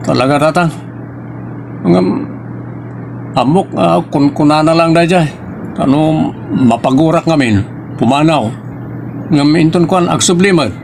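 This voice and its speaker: A man's voice: fairly high pitch, measured pace, creaky, pauses often, slight background noise. A man playing an exasperated, argumentative husband in a family audio drama. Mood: defensive. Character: exasperated husband arguing with his wife and father. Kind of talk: Ilocano family radio-style drama acting.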